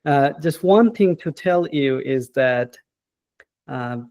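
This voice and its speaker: Gravelly man, hesitant talk. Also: mid-range voice, animated, darkish tone, no echo. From Bangladesh. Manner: unhurried, hesitant, with frequent "uh" and tag questions like "right?". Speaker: guest, assistant professor. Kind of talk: casual interview.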